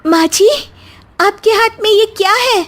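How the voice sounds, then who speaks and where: A woman playing a naive, soft-hearted young daughter-in-law in a comic story, earnest, polite and pleading. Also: extremely high pitch, unhurried, pitched above the performer's own voice. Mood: pleading, preachy. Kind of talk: acted Hindi comic story dialogue.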